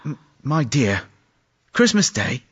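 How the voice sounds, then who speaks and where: A man playing a gentle, poor Victorian clerk and placating husband, earnest and mildly pleading, in an audio play. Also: medium pitch, very animated, slow pace, slightly rough, big-room sound, heavily acted. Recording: dull lo-fi audio with music behind.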